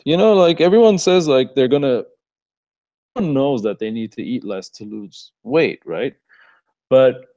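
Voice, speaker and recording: A young man from Los Angeles with an Armenian-American accent, chatting loosely in a live Q&A and laughing often. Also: mid-range voice. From Los Angeles, USA. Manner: relaxed, rambling, laughs often. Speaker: fitness coach answering questions. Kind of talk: casual live Q&A. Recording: muffled lo-fi stream audio.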